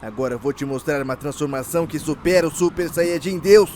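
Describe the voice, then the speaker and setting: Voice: medium pitch, fairly quick, noisy background, natural voice. An actor voicing a cheerful, eager male martial-arts anime warrior, friendly and boastful in a parody dub. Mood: eager, showing off.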